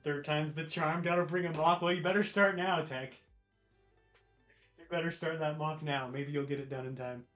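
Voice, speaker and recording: A man's voice: a little high, fairly even intonation, moderate pace, fairly bright tone. American man livestreaming in an echoey room. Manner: laid-back, slangy, addresses viewers by name. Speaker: livestream host. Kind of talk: casual chat with live viewers. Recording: roomy echo with music behind. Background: music, guitar.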